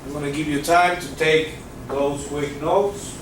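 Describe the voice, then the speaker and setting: Physics teacher, male, Cuban accent, high voice, heard from far off in an echoey classroom. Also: fairly even intonation, unhurried, background noise. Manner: checks in with students often. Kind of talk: classroom lecture.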